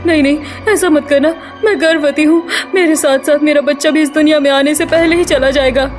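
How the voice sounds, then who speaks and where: A woman voicing a gentle, anxious young village wife in a cartoon, earnest and pleading. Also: high-pitched, fairly even intonation, brisk, soft background music, lightly acted. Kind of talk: Hindi cartoon character voice.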